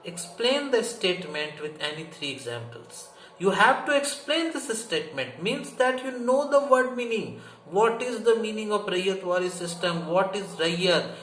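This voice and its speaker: A man's voice: high-pitched, very animated, normal speed, few pauses, a bit bright. A man with an Indian accent teaching an exam prep lesson, explaining emphatically and didactically, often repeating key phrases and asking rhetorical questions. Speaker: history teacher. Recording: echoey room, faint background noise.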